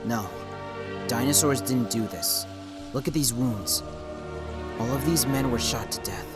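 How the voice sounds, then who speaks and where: Male actor as earnest former cop for audio drama; resolute, average pitch, clear underscore behind. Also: measured pace, natural voice. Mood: grim, urgent. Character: earnest, determined ex-policeman stranded in primeval world. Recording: music under the voice.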